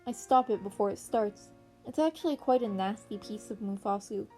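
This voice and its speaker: A woman's voice: mid-range voice, normal speed, mellow, soft background music, lightly acted. A woman voicing a confident, matter-of-fact young male vigilante in a story reading. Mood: assured.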